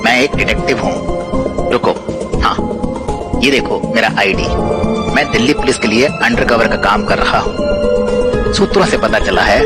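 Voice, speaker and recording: एक आदमी की आवाज़ में संयमित अंडरकवर जासूस. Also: male voice, medium pitch, natural voice. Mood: grave, informative. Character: composed, watchful male undercover police detective. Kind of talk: Urdu-Hindi audio drama acting. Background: background music.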